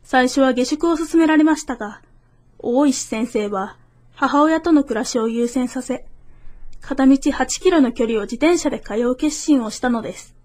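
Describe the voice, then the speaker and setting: A woman's voice: high-pitched, normal speed, darkish tone. A woman reader giving a scripted reading of literary prose.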